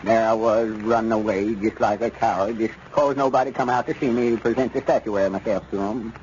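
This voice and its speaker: A man's voice: lowish voice, steady tone, brisk, darkish tone, lightly acted. Man acting folksy Southern storekeeper, old muffled radio. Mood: resolute, wounded pride. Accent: rural Southern US. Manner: folksy, drawling, homespun grammar. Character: folksy, proud, stubborn small-town storekeeper, middle-aged or older. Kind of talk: 1930s-40s radio comedy serial acting. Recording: dull, noisy vintage radio recording.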